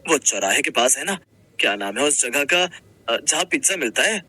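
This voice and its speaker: A man playing a simple, humble working husband, gentle, earnest and a little hesitant, in a Hindi moral-story cartoon. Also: mid-range voice, sing-song, moderate pace, husky, full character voice. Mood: relieved.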